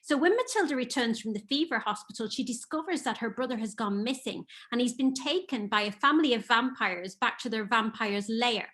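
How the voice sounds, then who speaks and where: A woman's voice: fairly high pitch, lively intonation, fairly quick, few pauses, a bit bright. A woman with a half English, half Irish accent, an author talking eagerly about her books in a remote interview. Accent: mixed English and Irish. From north of England. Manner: enthusiastic, storytelling. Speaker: children's author guest. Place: home in Ireland, remote call.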